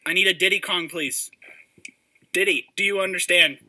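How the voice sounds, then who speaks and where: A man's voice: high voice, moderate pace, sharp tone. A man making prank phone calls, insistently repeating short demands. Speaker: prank caller. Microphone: phone line. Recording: noisy phone call recording.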